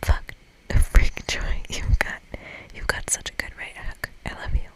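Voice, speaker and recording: A boisterous gamer buddy from a game as put on by an ASMR reader, all in whisper. The character is tough yet goofy, excitable, with a casual, slangy way of talking. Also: unhurried, natural voice, low-quality recording. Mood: excited.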